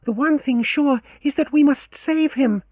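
A man reads out a proud, fiery young noblewoman in a high, strained register, commanding and scornful. The sound is tinny and narrow, like a phone line, and bone dry. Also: moderate pace, no echo.

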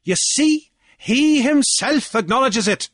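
A man's voice: sits high, animated, measured pace, a bit bright, no room sound, studio quality, voice pushed up. A man voicing a smug, triumphant chancellor in a story, arguing before an island council in an emphatic, challenging, oratorical manner. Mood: assured triumph.

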